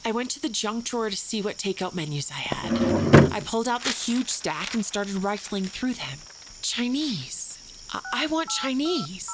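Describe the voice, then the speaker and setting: A woman's voice: medium pitch, heavy background noise, natural voice. An actress playing an anxious woman who recounts a frightening night in detail in an audio drama, with a snippy edge. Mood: matter-of-fact. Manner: detailed, snippy, increasingly fearful recollection. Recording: prominent music under the voice. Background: music.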